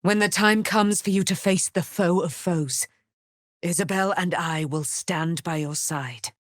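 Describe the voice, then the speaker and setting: An actress playing a proud, righteous immortal warrior, daughter of a moon goddess, with grandiose, formal delivery in a fantasy video game. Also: female voice, fairly low pitch, measured pace, dry recording, studio quality, close to an everyday voice. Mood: resolute, grave.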